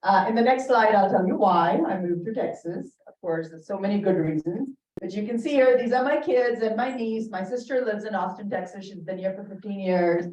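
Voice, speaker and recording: A woman's voice: medium pitch, a little fast, hardly any pauses, a little mellow. A middle-aged woman with an Indian accent giving a friendly, joking talk as a dermatologist. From Bahrain. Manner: chatty, jokey, self-deprecating. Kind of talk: friendly presentation with humor. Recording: distant mic, roomy, dull, faint noise.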